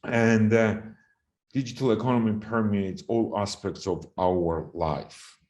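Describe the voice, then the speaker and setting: The lowish-voiced Georgian-accented man at a policy conference, middle-aged, feeling his way through his presentation and leaning on the key words. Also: fairly even intonation, takes it slowly, darkish tone, lo-fi sound. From Georgia (the country). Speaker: conference presenter on health governance. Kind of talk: formal presentation, speaking extempore.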